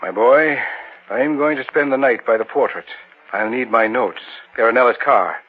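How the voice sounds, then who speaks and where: An actor playing a confident, urbane gentleman friend in an old radio horror drama, speaking in a grand, jovial way. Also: mid-range voice, normal speed, close to an everyday voice. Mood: intrigued. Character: hearty, urbane male friend, confident occult sceptic turned intrigued. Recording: tinny old radio recording with hiss.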